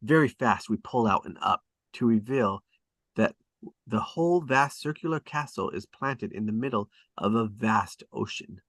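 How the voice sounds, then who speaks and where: A man reading stage directions aloud at a script table read, in a deliberate, descriptive way. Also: on the low side, animated, fairly bright tone, no room sound.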